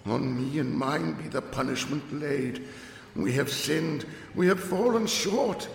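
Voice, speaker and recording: A frantic, half-mad curate pours out a hushed lament that keeps breaking upward into shrill cries, as a deep-voiced reader puts him on. The voice cracks and wavers between whisper and wail, desperate and unhinged. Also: male voice, takes it slowly, creaky, reverberant, big-room sound. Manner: whispered, ranting, pitch leaping high. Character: half-mad male curate, wailing and pleading.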